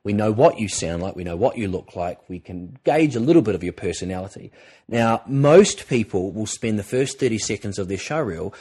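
A man co-hosting a podcast with a croaky voice, talking in an earnest, encouraging way and rambling with restarts. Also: lowish voice, animated, normal speed, warm tone. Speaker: podcast co-host, presenter coach. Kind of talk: casual podcast talk.

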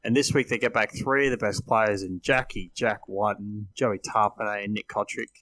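A man's voice: lowish voice, steady tone, moderate pace, a bit bright. An Australian man giving casual sports team analysis as a podcast panellist.

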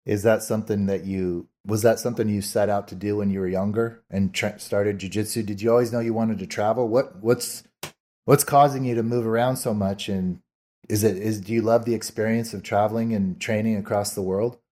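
Low, mellow-voiced podcast host. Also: male voice, fairly quick. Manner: relaxed with frequent fillers and restarts. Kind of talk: casual interview chat.

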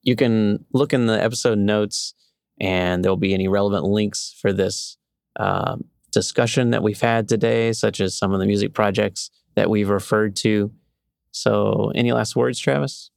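Gravelly, lowish male podcast host. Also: fairly even intonation, moderate pace, no room sound, clean studio sound. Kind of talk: casual interview.

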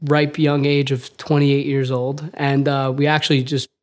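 A young man, a recent graduate, co-hosting a casual podcast in a relaxed way with many "you know"s. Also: medium pitch, normal speed. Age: young adult. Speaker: podcast co-host, junior architect. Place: office.

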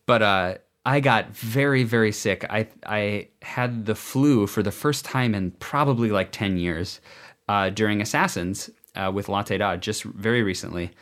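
A young man, a stage actor, telling stories in a casual interview, animated and full of fillers. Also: fairly low pitch, unhurried. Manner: animated storytelling with many fillers.

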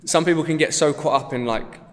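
A man's voice: fairly high pitch, long reverb tail. A man hosting a gym podcast, asking casual questions and repeating words as he goes. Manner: quick, chatty, repeats words. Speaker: podcast host, gym coach.

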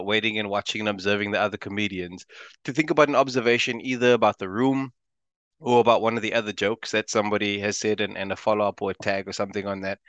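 A man's voice: lowish voice, fairly bright tone, clear, resonant tone, no room sound. A man from South Africa with a South African accent, a stand-up comedian chatting casually and laughing often as an interview guest.

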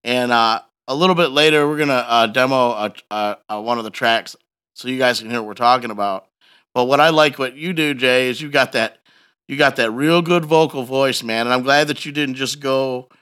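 A male podcast host speaks in a bright, punchy voice at a middle pitch. He chats casually with a guest, using slang and leaning hard on his points. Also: fairly even intonation, normal speed, clean tone. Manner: emphatic, slangy. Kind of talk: casual conversational interview.

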